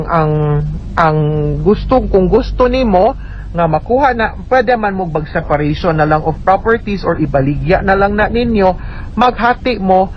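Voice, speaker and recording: A woman with a Cebuano Filipino accent giving spoken legal advice on the radio, explaining emphatically and checking with tag questions. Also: lowish voice. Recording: dull, noisy radio recording.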